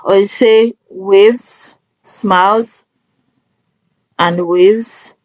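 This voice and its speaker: A woman talks through a casual screen tutorial at an unhurried, slow pace. Her voice sits at a middle pitch and sounds dry and close, and she guides step by step, often checking in. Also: fairly even intonation, darkish tone, no room sound. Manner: instructive, often checking in with "okay?". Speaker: tutorial presenter.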